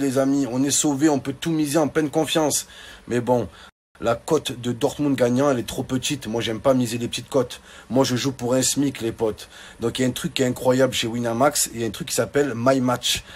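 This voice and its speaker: A man telling comic stories in casual street slang, in an exclamatory, mock-indignant way. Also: mid-range voice. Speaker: online comedian. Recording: clearly noisy background.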